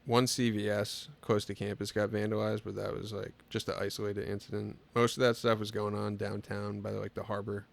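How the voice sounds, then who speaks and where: Guest, male, 20s; lowish voice; laid-back storyteller, filler-heavy. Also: brisk, creaky, hardly any pauses. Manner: relaxed storytelling, lots of filler "like". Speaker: podcast guest. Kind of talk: casual chat.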